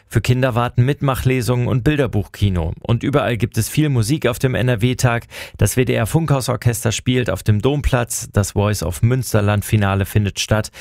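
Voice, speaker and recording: A man's voice: lowish voice, gravelly, a little mellow, dry recording, studio quality. A man delivering a scripted radio report as a news reporter.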